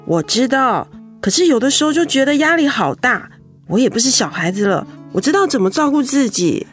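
Gentle, slightly high-voiced young wife. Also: female voice, big pitch swings, brisk, soft background music. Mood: mildly annoyed. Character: gentle young wife, cancer survivor, putting on brave face. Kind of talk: radio drama acting.

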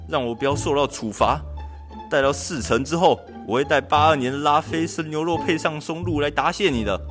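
A man's voice: mid-range voice, a bit bright, natural voice. A young man playing an anxious, cheeky, lazy government clerk from ancient China in an amateur radio drama, exclaiming and pleading. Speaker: student voice actor in a radio drama. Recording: music under the voice.

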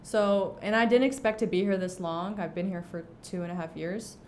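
Chatty young woman with faint rumble. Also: fairly even intonation, normal speed. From Egypt. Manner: chatty, laughing, lots of fillers like "like" and "um". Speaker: podcast guest. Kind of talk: casual interview chat.

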